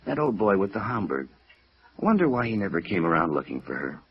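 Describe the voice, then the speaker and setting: A man's voice: low voice, big pitch swings, fairly quick, vocal fry, husky, heavily acted. A man acting a gossipy yet guarded American apartment manager in a 1950s radio drama, wisecracking in everyday slang. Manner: colloquial, wisecracking. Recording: muffled vintage radio recording.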